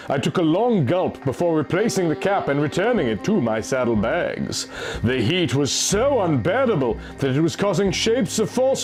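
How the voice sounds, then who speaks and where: Theatrical male storyteller, lively high-set voice. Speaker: narrator, reader. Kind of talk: dramatic reading of a first-person fantasy story. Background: faint music.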